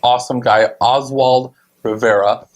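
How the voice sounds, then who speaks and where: A male TV host talks casually in a studio. His pitch is middling. He rambles excitedly, full of fillers. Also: a little slow. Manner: rambling, hesitant, enthusiastic. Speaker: show host. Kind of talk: casual chat.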